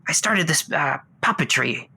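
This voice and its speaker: Husky, low-voiced, rambling lovestruck caller acted by a woman. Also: expressive, moderate pace, sharp tone, exaggerated voice. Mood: earnest, rambling. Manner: hesitant, rambling, earnest. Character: lovestruck, rambling radio call-in guest, newly divorced puppeteer. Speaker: caller on a radio advice show. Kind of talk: improvised comedy call-in, conversational.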